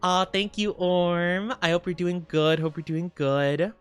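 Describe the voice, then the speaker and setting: A young man streaming from home, chatting casually with viewers in a soft, rambling way full of fillers, sounding sniffly and stuffed up. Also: high-pitched, level tone, a little slow, clean tone.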